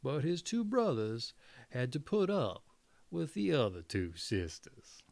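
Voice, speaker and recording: A man's voice: mid-range voice, wildly swooping pitch, a little slow, creaky, darkish tone, no echo. A man reading fairy tales aloud as a storyteller, dramatic and folksy, sometimes correcting his own reading. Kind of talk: fairy tale narration, read aloud.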